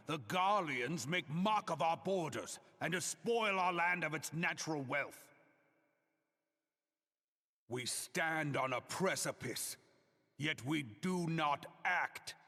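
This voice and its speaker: An actor playing a fierce, proud general who gives slow, solemn, declamatory speeches to rally a nation in a video game. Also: male voice, a little high, bright, forceful tone, heavily acted. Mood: grave warning. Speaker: military leader giving a rallying speech. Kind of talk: theatrical oratory. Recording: long reverberant hall echo.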